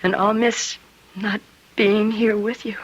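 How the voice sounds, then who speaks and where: An actress playing an earnest young secretary torn by love and duty in a radio drama, hesitant and pleading. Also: female voice, mid-range voice, little pitch movement, slow, dull audio. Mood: gentle, subdued. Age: young adult.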